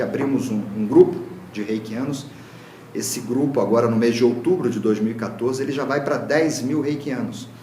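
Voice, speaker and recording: A man's voice: mid-range voice, a little mellow, a little hiss or hum. Brazilian man lecturing in an echoey room. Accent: Brazilian Portuguese. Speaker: lecturer, Reiki teacher. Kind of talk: public talk.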